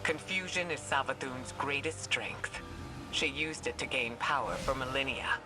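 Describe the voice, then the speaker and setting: An actor voicing a solemn, shrewd mystic mentor in a video game, speaking in a measured, cryptic way. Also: medium pitch, music in the background, natural voice, rough recording. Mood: grave, resolute. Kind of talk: scripted video game dialogue.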